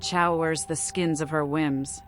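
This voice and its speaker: A woman's voice: low-pitched, fairly even intonation, unhurried, over music. A woman voicing a mad, childlike young vampire in a video game, speaking in giddy, cryptic, singsong riddles. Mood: sly, cryptic.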